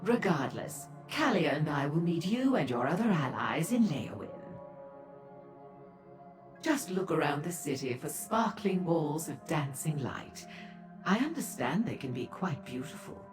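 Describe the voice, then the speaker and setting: A woman voicing a haughty, composed sorceress in a fantasy game, measured, formal and aloof. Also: deep voice, big pitch swings, roomy sound, over music. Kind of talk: scripted fantasy game acting.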